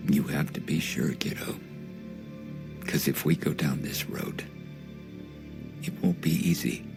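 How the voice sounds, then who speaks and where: A cautious older father in a sci-fi audio drama. His voice is extremely deep and gravelly, grave and weary, with music clearly under it. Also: fairly even intonation, a little slow, full character voice. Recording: music playing behind the voice.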